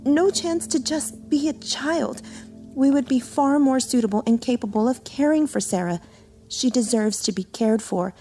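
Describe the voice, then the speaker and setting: A woman's voice: sits high, normal speed, mellow, faint music behind, close to an everyday voice. An actress playing a determined woman who speaks earnestly and indignantly about adopting a girl.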